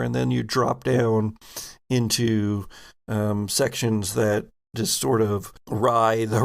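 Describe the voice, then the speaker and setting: A man improvising narration as game master of a tabletop game, descriptive and halting, with pauses mid-sentence. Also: medium pitch, creaky.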